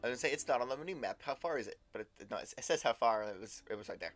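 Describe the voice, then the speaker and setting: A man chatting casually as he livestreams a game, halting and repeating his words, with mild exclamations. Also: medium pitch, animated, fairly bright tone, soft background music. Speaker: game streamer. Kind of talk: casual livestream chat and commentary.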